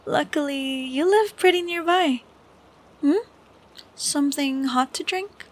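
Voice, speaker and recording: A young woman playing an affectionate, troubled college-age best friend in an intimate audio roleplay, speaking softly and hesitantly with sighs. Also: high-pitched. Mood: friendly, relieved. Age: young adult. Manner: soft, hesitant, slow with sighs. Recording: steady background noise of rain. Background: rain sounds.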